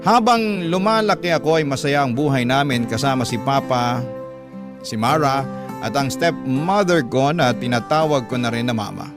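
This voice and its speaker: A man's voice: medium pitch, moderate pace, lightly acted. Man as storyteller over music. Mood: reflective. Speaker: narrator. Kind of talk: first-person radio story narration.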